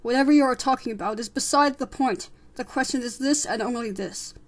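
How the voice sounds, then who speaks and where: A woman voicing a stern, formal elder male mouse who presides with deliberate authority in a storybook. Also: fairly high pitch, natural voice. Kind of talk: audiobook character voice.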